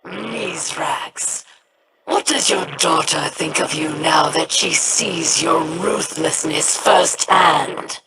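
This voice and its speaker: A woman's voice: deep voice, takes it slowly, background noise. An actress playing a cruel, vengeful female alien warlord in a video game, with a taunting, biting delivery.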